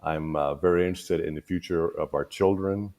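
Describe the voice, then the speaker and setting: A man in his sixties, originally from Cuba, speaks in a very low voice. He introduces himself as a school board candidate at an easy pace, with frequent pauses and fillers. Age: 60s. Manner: relaxed, halting with many fillers. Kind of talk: casual panel chat.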